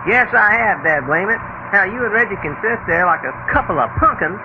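Scrappy high-voiced cowboy adventurer. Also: male voice, animated, a little fast. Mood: defiant, wisecracking. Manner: folksy, colorful slang. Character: scrappy, folksy, fearless cowboy-like adventurer man. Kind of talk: 1940s radio adventure acting. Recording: noisy muffled old radio recording.

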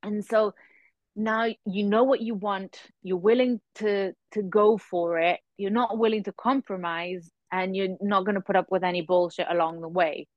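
Her voice comes through close and dry, a little muffled at the top. She is a woman in her thirties at an ordinary middle pitch. She talks casually, like a podcast host in an easy conversation. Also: fairly even intonation, moderate pace, no echo. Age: 30s.

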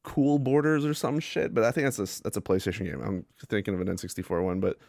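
A man's voice: on the low side, steady tone, fast, vocal fry, a little mellow, dry recording, studio quality. A man co-hosting a casual podcast chat, rambling, swearing freely and laughing often. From Canada. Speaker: podcast co-host.

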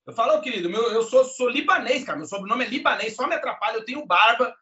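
A gaúcho man from southern Brazil talks with lively, joking energy in a high, bright voice. The sound is muffled and lo-fi. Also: fast talker, roomy sound. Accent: Rio Grande do Sul (gaúcho). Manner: lively, animated, jokey talk. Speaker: podcast guest. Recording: muffled lo-fi audio.

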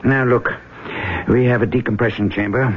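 An actor playing a shrewd, wealthy older expedition boss in an old-time radio drama, speaking in a measured, businesslike way. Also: male voice, medium pitch, steady tone, slightly rough, natural voice. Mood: brisk, commanding. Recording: noisy, tinny old radio broadcast.

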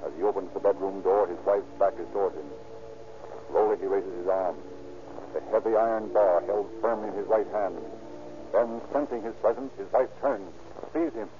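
A man narrating a crime story gravely and deliberately, in the dramatic style of 1930s radio. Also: fairly low pitch, background noise, natural voice. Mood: grave, suspenseful. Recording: tinny old radio recording, music behind.

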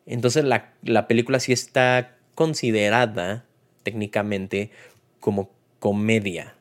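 Casual Mexican man, slightly low voice. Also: steady tone, unhurried. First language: Spanish. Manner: conversational, colloquial, retells scenes with acted-out quotes. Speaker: film podcast host and reviewer. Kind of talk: casual, unscripted film review.

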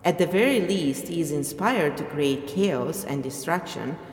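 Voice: medium pitch, expressive, measured pace, faint music behind. Echoey podcast host reading and commenting. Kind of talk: book reading with casual spoken commentary. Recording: echoey room with long reverb.

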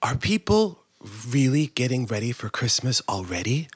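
A man voicing an anxious, incredulous shopper in a comic audiobook, flustered, excitable and prone to stammering. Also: medium pitch, moderate pace, husky. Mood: nervous alarm. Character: anxious, incredulous male holiday-loving shopper, easily alarmed. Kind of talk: comic audiobook character acting.

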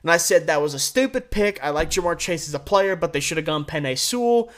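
A man with an American accent and a distinctly high-pitched voice hosts a sports show on his own. He delivers commentary and predictions in a blunt, opinionated way. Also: expressive, a little fast, a bit bright. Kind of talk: solo sports commentary with game picks.

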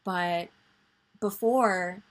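A woman in her twenties hosting a podcast, chatting casually about faith in a soft, rambling way full of fillers. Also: on the low side, drawn-out words. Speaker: podcast host.

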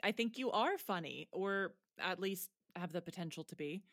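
A woman's voice: fairly low pitch, animated, normal speed, a bit bright, dry recording, clean studio sound. A woman playing a frank, wry teenage student in a naturalistic audio drama, understated and a little hesitant. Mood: frank, thoughtful.

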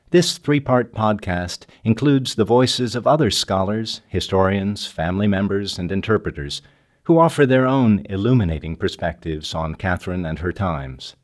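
An older man reads scripted podcast narration in a very low voice. His delivery rises and falls in a lively way, with clear music playing behind him.

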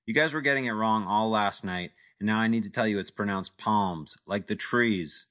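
A man's voice: lowish voice, moderate pace, fairly bright tone, lightly acted. A man doing a brash cocky ex-actor. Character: cocky male ex-actor in over his head.

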